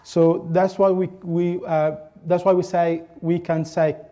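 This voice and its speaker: A man from Egypt giving an academic conference presentation, speaking in a halting way with frequent pauses and restarts. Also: high voice, flat delivery, mellow.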